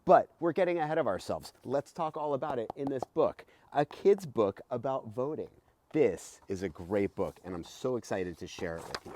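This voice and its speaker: A middle-aged man, a teacher, reading a children's book aloud in an expressive, emphatic way with explanatory asides. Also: medium pitch. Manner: expressive, emphatic, with rhetorical questions. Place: outdoors beside a house.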